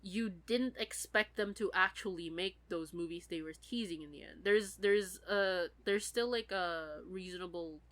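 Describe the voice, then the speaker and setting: A woman's voice: lowish voice, normal speed, few pauses. A woman who is sick, chatting casually as a podcast co-host. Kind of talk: casual chat.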